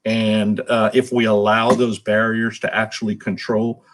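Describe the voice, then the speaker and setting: A man's voice: fairly low pitch, flat delivery, unhurried, a little hiss or hum. A man speaking as an interview guest, answering conversationally in an earnest, explanatory way. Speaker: interview guest, nonprofit executive.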